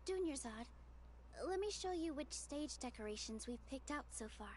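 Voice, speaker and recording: Brief: polite young game heroine, high voice, faint ambience behind. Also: female voice, lively intonation, normal speed, slight background noise. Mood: welcoming. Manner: polite, welcoming. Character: gracious young woman festival organizer in a fantasy game. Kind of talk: game dialogue.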